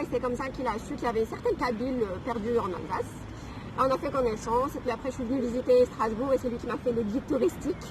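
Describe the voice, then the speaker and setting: Woman from Algeria, thirties, casual interview, high voice, noisy recording. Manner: chatty, storytelling, frequent fillers. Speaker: guest. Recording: noisy background.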